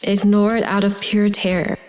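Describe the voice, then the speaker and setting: A young woman playing a troubled, introspective American teenage daughter, narrating her inner thoughts in a measured scripted drama style. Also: mid-range voice, flat delivery, a little hiss or hum, lightly acted, low-quality recording. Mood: pensive. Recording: clear music bed under voice.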